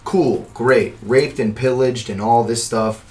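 A man's voice: medium pitch, fairly even intonation, slow, darkish tone. A man hosting a podcast, easygoing and affirming, earnestly explaining history he has researched. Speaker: podcast host. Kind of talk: casual podcast chat.

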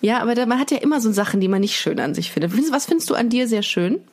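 Woman podcaster, kinda high voice, rambly chat, keeps searching for words. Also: animated, very fast, darkish tone. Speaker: podcast co-host. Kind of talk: casual, candid chat.

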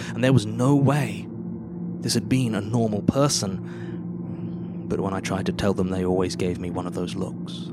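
A man acting a dry, uptight archivist in a live horror audio drama, measured and deliberate in his delivery. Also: sits low, very noisy. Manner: measured, deliberate, with hushed line endings. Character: dry, uptight male archivist reading eerie statements, easily exasperated. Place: live theatre stage.

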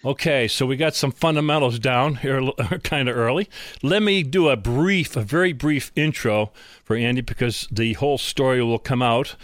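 A man hosting a business interview in an informal, conversational way, sometimes pausing to rephrase himself. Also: medium pitch, no room sound, studio quality. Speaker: interview host.

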